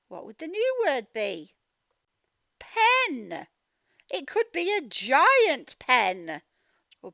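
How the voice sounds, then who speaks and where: Animated high-pitched woman teaching young children. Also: dry recording, clean studio sound. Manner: sounds out words, animated questioning of children. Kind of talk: interactive storybook read-aloud lesson.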